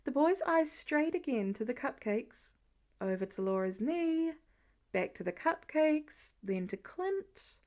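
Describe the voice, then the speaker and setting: A woman reading a story aloud as an expressive audiobook-style narrator of fan fiction. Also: high voice, takes it slowly.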